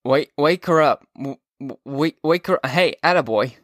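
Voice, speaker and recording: A man's voice: on the high side, takes it slowly, a bit bright, no room sound, clean studio sound, pitched above the performer's own voice. A man reading aloud, voicing a drunk teenage boy who slurs and stammers on the phone. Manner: slurred, stammering.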